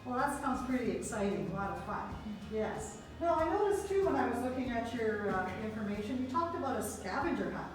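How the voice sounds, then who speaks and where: A woman's voice: fairly high pitch, sing-song, fairly quick. A woman interviewing arts club members in a chatty, enthusiastic way. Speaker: interviewer and producer. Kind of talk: casual interview. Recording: echoey room, far from mic, with music.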